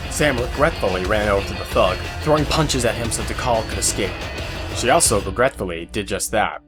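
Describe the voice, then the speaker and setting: A man's voice: on the low side, fairly quick. A man reading scripted story narration for an audio drama. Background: music, guitar.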